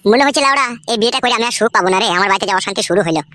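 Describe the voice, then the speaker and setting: A man's voice: high voice, racing, sharp tone, a little hiss or hum. A man voicing a harried, foul-mouthed village husband in a comedy cartoon, grumbling coarsely. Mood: troubled, complaining.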